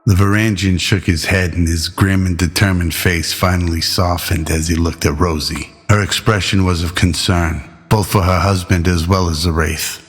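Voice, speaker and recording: A man narrating a scripted sci-fi radio drama in an old-school, measured storytelling manner. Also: sits low, fairly even intonation, slightly rough.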